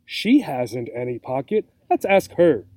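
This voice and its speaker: Male reader as worried kangaroo mum, storybook, plaintive, tearful, mellow timbre, close dry sound. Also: mid-range voice, very animated, a little slow, dry recording. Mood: hopeful. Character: tearful, anxious mother kangaroo, gentle and pleading.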